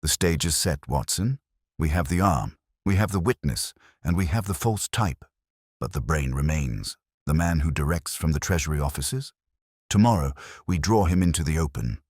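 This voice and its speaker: A man's voice: very deep voice, lively intonation, moderate pace, darkish tone, breathy edge, dry recording, studio quality, full character voice. A man voicing a shrewd, commanding Victorian detective in an audiobook, speaking formally and incisively. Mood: measured, resolute. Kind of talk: dramatic audiobook character reading.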